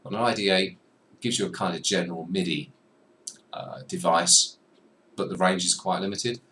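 A young man giving a chatty, self-deprecating software tutorial at his desk, talking to the viewer as he clicks through menus. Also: low-pitched, normal speed. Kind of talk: screen-recorded software tutorial. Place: small room at a desk. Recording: slightly distant mic with faint room noise.

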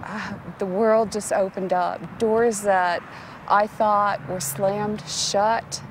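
A woman's voice: mid-range voice, fairly even intonation, slow pace. A woman in her forties giving unscripted interview answers outdoors on a lapel microphone. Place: outdoors on an open path. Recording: outdoor interview with background noise.

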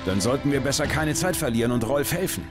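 An actor playing a loyal, level-headed warrior hero in a video game, terse and dry in manner. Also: male voice, mid-range voice, lively intonation, quick pace, lightly acted. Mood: urgent. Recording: background music. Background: game music.

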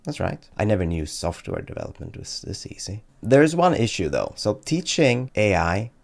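A man's voice: fairly low pitch, huge pitch swings, normal speed, creaky. A man in his thirties presenting a casual tutorial with dry, tongue-in-cheek delivery, close to a desk microphone indoors. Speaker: tech YouTuber and presenter. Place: small dim home room, indoors. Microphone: close boom-arm desk microphone.

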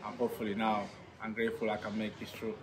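A man's voice: fairly low pitch, monotone, quick pace. A man in his twenties from Spain, with a Spanish accent and plain, non-native English, talking eagerly in an interview in a stadium's stands. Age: 20s. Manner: enthusiastic, non-native English with simple phrasing. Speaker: footballer. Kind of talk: post-signing interview. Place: outdoor football stadium stands.

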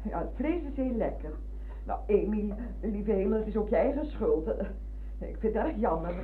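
An actress playing a warm, chatty older former schoolteacher in a Dutch radio play, full of exclamations. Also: female voice, mid-range voice, expressive, normal speed, slight background noise, close to an everyday voice. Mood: chatty, insistent. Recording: muffled lo-fi old radio recording.

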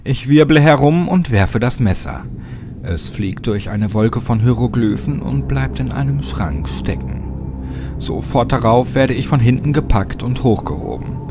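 Low-voiced man narrating horror over loud music. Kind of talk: dramatic scripted audiobook narration of a horror story. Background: loud music under the voice.

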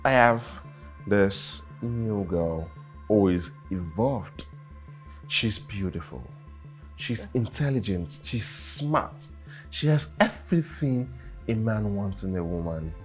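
A man's voice: on the low side, animated, slow, soft background music. An actor playing an arrogant husband who mocks and dismisses his wife, often stammering when agitated. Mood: scornful.

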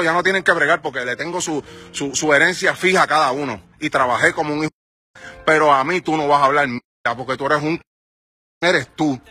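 A man's voice: on the high side, pushed and bright, husky. A man in his forties with a Puerto Rican accent, a music manager ranting heatedly into his phone outdoors, firing rhetorical questions at his followers. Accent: Puerto Rican Spanish. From Puerto Rico. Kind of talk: angry selfie-video rant. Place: outdoors on a sunny residential street. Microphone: phone at arm's length.

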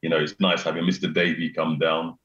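A middle-aged man with a deep bass voice, chatting casually as a guest on a video call, repeating himself and laughing often. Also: little pitch movement, fairly quick, fairly bright tone. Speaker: venue owner, guest. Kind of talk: casual chat. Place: small room indoors. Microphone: video call webcam. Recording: roomy, dull video-call audio.

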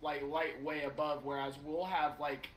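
Pria bersuara agak tinggi, gema ruangan. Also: male voice, fairly high pitch, level tone, a little slow, a bit bright. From Ohio. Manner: argumentative, explains at length, swears casually. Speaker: friend on call. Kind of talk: casual chat. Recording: echoey remote audio with faint hiss.

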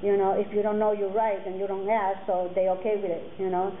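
A woman in her forties, a native Mixtec speaker, speaking earnestly to students and halting often with fillers and restarts. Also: mid-range voice, flat delivery. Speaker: guest speaker. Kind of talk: personal talk to students. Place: classroom with curtains, indoors. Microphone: table mic on a stand. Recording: tinny phone-like sound, faint noise.

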